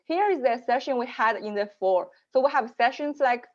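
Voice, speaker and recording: Female program manager, fairly high voice, measured delivery with fillers, slightly dull video-call sound. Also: lively intonation. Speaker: global programs manager hosting an info session. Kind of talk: online info session presentation.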